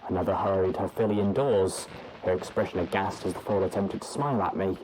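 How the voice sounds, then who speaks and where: Deep-voiced man reading journal entries. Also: brisk, background noise. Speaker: narrator. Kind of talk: audiobook narration of journal entries.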